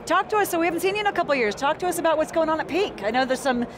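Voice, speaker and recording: A middle-aged woman hosts a live broadcast with brisk, bright presenter delivery. Her voice sits a little higher than most. She was recorded on a lapel mic with clear convention noise behind. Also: animated. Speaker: host. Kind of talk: live broadcast interview hosting. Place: convention hall set. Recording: trade show noise behind.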